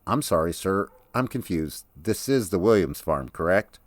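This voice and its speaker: A man's voice: low-pitched, expressive, moderate pace, dry recording, studio quality. A man voicing a polite, inquisitive young woman in an audiobook story. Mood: confused, apologetic. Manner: polite, questioning.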